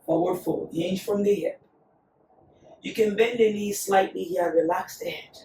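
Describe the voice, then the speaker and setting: Slow-spoken yoga teacher, recovering voice. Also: female voice, medium pitch. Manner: slow, calm cueing of breath and poses. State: recovering from lost voice. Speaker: yoga instructor. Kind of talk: guided yoga class instruction. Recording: echoey room, voice from a distance.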